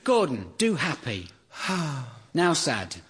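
A man delivering a deadpan, sardonic comedy monologue that builds into exasperated rants. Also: fairly high pitch, takes it slowly, husky.

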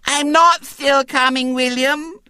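A man's voice: very high-pitched, steady tone, takes it slowly, pushed and bright, no room sound, clean studio sound, pitched above the performer's own voice, full character voice. A man voicing a small, determined six-year-old girl in a storybook, plaintive and self-important. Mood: triumphant. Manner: plaintive, insistent, serenely triumphant.

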